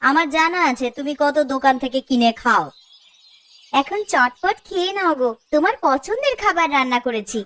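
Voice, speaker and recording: A woman playing a caring, inquisitive village wife in a cartoon, with an expressive, coaxing way of talking. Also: high-pitched, normal speed. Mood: doting, chiding. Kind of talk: cartoon dialogue.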